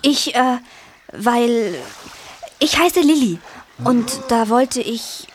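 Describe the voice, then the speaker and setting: A woman's voice: fairly high pitch, extremely slow, a little hiss or hum. A woman playing a polite, earnest young girl giant in a children's audio drama. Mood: polite, formal.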